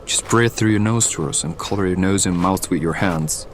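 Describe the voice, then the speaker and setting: An actor playing a pragmatic, seasoned survivalist hireling in an audio drama, matter-of-fact and instructive. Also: male voice, low-pitched, normal speed, slight background noise, lightly acted. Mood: instructive, unruffled.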